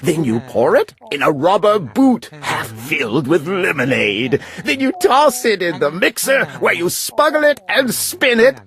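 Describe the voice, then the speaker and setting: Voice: mid-range voice, sing-song, unhurried, hardly any pauses, a bit bright. A performer voicing a chatty, pushy male storybook creature, gabbing and wheedling in rhyme. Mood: gabby, affectionate. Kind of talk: rhyming children's storybook character voice.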